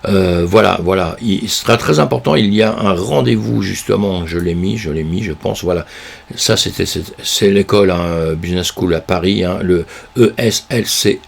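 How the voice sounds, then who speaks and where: A man with a very low, gravelly voice, hoarse and congested from a cold. He chats loosely through a news roundup, full of fillers and restarts. His voice sounds husky and rough. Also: normal speed. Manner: rambling, with many fillers and restarts; chatty, addresses viewers as friends. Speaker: crypto news commentator. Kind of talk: casual commentary on a news roundup.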